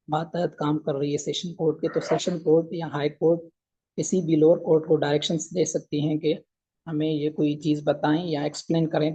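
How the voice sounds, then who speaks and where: A man lectures in a fairly high but very even voice. The tone is husky and mellow. He explains informally and haltingly, repeating points and switching to English for technical terms. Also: rough recording. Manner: mixes English legal terms into speech. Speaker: law lecturer.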